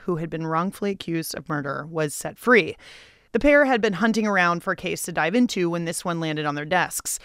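A woman's voice: on the low side, clear, resonant tone, no echo, studio quality. A woman with an American accent hosting a radio show in scripted narration.

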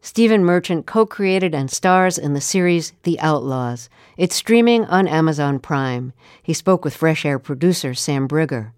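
A radio host reads a scripted wrap-up and segment introduction with a smooth, resonant voice. The sound is dry and close-miked in a studio. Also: medium pitch, expressive, unhurried, no room sound. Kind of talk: scripted broadcast announcement.

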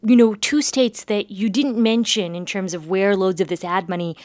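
A woman hosting a political podcast as campaign correspondent, asking brisk, curious follow-up questions. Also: mid-range voice, steady tone, a little mellow, no room sound.

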